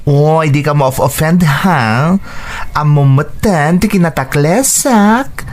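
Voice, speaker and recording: A man's voice: fairly high pitch, animated, slow, faint music behind. A man playing a concerned, frank co-worker and friend in an Ilocano radio drama.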